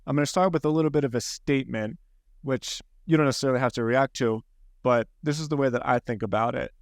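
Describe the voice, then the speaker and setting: Philly-accented man, smooth and resonant. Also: medium pitch, quick pace, dry recording, studio quality. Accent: Philadelphia. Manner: rambling, self-deprecating, frequent restarts. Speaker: podcast host. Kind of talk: casual podcast conversation.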